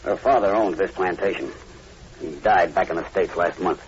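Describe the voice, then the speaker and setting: Actor as grim overseer, noisy old radio drama. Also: male voice, low-pitched, normal speed, lo-fi sound, close to an everyday voice. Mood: grim, ominous. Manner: ominous, emphatic, dramatic storytelling. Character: grim, superstitious male plantation overseer, long in Haiti. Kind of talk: 1940s radio horror acting.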